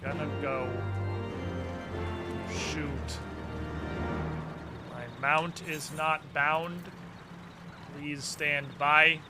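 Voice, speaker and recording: A man's voice: fairly high pitch, flat delivery, very slow, long pauses, over loud music. A man giving casual live commentary as he plays a game, muttering to himself and swearing freely. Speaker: game streamer.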